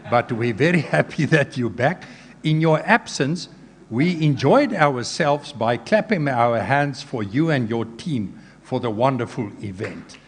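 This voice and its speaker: A man chairing a conference panel whose first language is Afrikaans, talking haltingly with many hesitations and light jokes. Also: medium pitch, animated, long reverb tail, background noise. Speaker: session chair.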